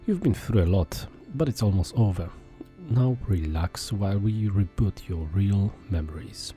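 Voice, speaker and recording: A man voicing an alien creature posing as a father, speaking in a calm, reassuring way. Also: deep voice, a little slow, soft background music.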